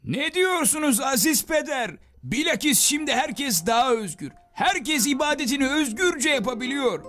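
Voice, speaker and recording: A man's voice: very high voice, lively intonation, moderate pace, a bit bright, faint music behind. A man voicing a humble, grateful townsman in a cartoon, effusive and devout. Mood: excited, grateful. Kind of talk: cartoon voice acting.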